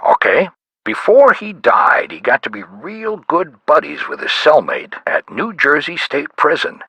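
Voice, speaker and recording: An actor playing a folksy, helpful investigator in a video game, with a gravelly, husky voice and casual, colloquial talk. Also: male voice, on the high side, sing-song, unhurried, pushed and bright, no room sound, exaggerated voice. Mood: helpful, animated. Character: folksy, helpful tough-guy investigator making calls. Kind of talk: video game character voice lines.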